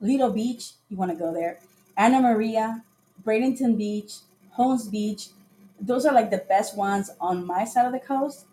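Chatty woman guest from Costa Rica with a relaxed, mellow voice who tells stories and acts out the dialogue. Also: on the high side, a little slow, a little hiss or hum, poor audio. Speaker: podcast guest. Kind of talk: casual chat on a livestream.

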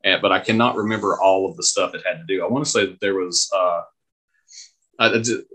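Deep, bright-edged man's voice. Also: brisk, husky, rough recording. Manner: hesitant, searching for words. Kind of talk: casual chat.

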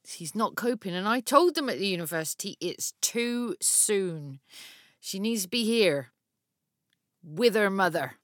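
A woman's voice: mid-range voice, animated, unhurried, dry recording, clean studio sound, lightly acted. An actress playing an aggrieved, fiercely protective mother who talks in a gossipy, resentful, confiding way in an audio drama monologue. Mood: hurt, anxious.

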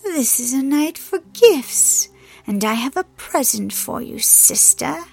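A woman playing a cruel, menacing goddess of the dead in an audiobook, with a raspy, whispery hiss and sardonic delivery. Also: a little high, lively intonation, no echo, soft background music, full character voice. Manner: sneering, sardonic, drawn-out menace.

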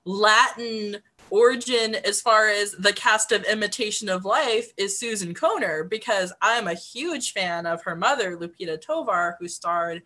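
A woman's voice: mid-range voice, measured pace, hardly any pauses, bright, forceful tone. A woman co-hosting a casual film chat, playful and prone to talking through laughter. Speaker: podcast co-host.

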